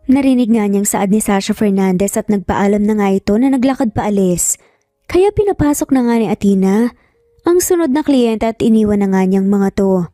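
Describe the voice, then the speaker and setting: A woman's voice: medium pitch, mellow, no echo, clean studio sound. A woman with a Filipino accent reading scripted romance story narration.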